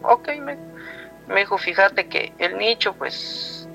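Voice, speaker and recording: A man's voice: high voice, expressive, takes it slowly, creaky, bright, forceful tone, muffled recording. A man from Guatemala with a Guatemalan Spanish accent tells a personal story conversationally, rambling along and retelling dialogue line by line. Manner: rambling, retells dialogue with frequent "he told me" tags. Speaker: guest telling a personal story. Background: music.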